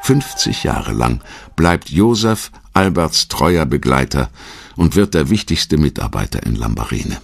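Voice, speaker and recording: A man narrates a documentary from a script with an extremely low, creaky voice. His storytelling is measured and dramatic, moving expressively up and down in pitch. The recording is dry and close. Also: sing-song, no room sound.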